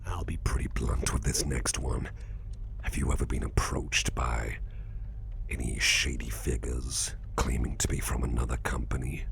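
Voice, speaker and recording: A man playing a suave, genteel boss interrogating an employee in an ASMR roleplay, in a gravelly half-whisper with slow, deliberate, wordy phrasing. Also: extremely low pitch, fairly even intonation, fairly bright tone, a little hiss or hum, full character voice. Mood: probing, suave. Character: suspicious but kindly crime-tinged boss, suave interrogator.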